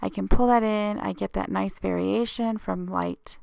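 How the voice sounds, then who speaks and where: A woman's voice: medium pitch, steady tone, normal speed, gravelly, darkish tone, dry recording. A woman with a slightly scratchy voice hosts a casual craft tutorial voice-over, explaining each step informally.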